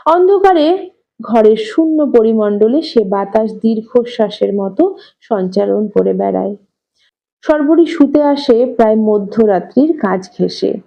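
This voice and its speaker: A woman narrating a literary story with expressive reading, on a slightly muffled recording. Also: fairly high pitch, a little slow, creaky. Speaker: audiobook narrator.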